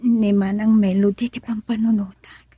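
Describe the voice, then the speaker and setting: A woman playing a young Filipino woman in a romantic family radio drama, with a breathy, husky voice and an emotive delivery. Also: medium pitch, level tone, normal speed, dark tone, dry recording, natural voice. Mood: soft, casual.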